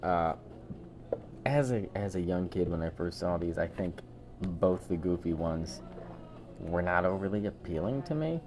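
This is a man with a low-pitched voice co-hosting a podcast. He talks casually and gives his opinions freely. His delivery is halting, circling back over phrases as he makes his case. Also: expressive. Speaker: podcast co-host.

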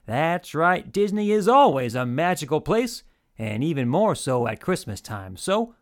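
A man hosts in old-time radio game show style. His slightly high voice moves up and down a lot as he presents to the listeners like a showman. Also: very animated, a little slow. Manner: showman-like announcing, addresses listeners directly.

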